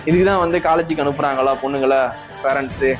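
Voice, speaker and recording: A man's voice: fairly high pitch, steady tone, fast talker, music in the background. A man giving casual, conversational commentary, circling back over points and often adding tag questions. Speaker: online commentator.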